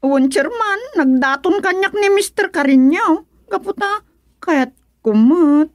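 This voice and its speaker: Worrying village mum for radio comedy woman performer, lively swings in a high voice. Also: slow, dry recording, studio quality, exaggerated voice. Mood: flustered, pleading. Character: fretful village mother of a schoolboy. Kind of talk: Ilocano radio comedy drama.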